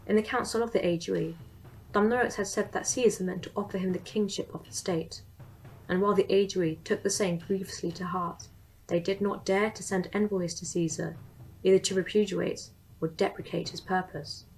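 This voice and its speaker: A young woman giving a formal, steady dramatic reading of an ancient historical account. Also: mid-range voice, normal speed, slightly rough, low-quality recording. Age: young adult. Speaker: student reader.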